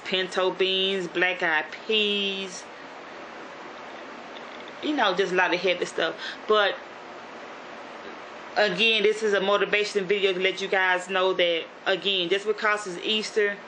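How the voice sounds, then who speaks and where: A woman's voice: on the low side, bright, forceful tone, a little hiss or hum, rough recording. A woman from Shreveport in North Louisiana with a Southern accent, vlogging in a casual motivational style, rambling with lots of "you know" and restarts. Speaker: YouTube vlogger.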